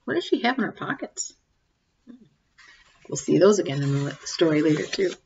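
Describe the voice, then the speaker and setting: A woman in her forties reading a picture book aloud to young children, expressively, pausing to ask them questions and comment. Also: fairly low pitch, big pitch swings, vocal fry, darkish tone, muffled recording, a little hiss or hum. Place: small home room, indoors. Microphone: webcam or laptop mic at desk distance.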